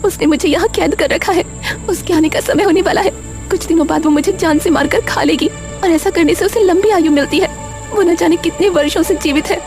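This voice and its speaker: A scared young village girl, put on by a woman narrator in a cartoon tale. The voice is very high, anxious and earnest, with music underneath. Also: fairly quick. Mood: tearful, fearful. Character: frightened, pleading young captive village girl. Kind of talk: Hindi cartoon storytelling.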